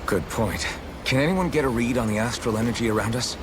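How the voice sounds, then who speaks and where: An actor playing a steady, earnest young swordsman leader in a video game, direct, with music behind. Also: mid-range voice, fairly quick, noisy background, close to an everyday voice. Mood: resolute. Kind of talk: English video game cutscene acting.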